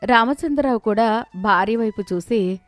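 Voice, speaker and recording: A woman narrates a scripted moral tale in a slightly high voice. The recording is dry, with soft music running underneath. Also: normal speed, no room sound. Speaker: story narrator.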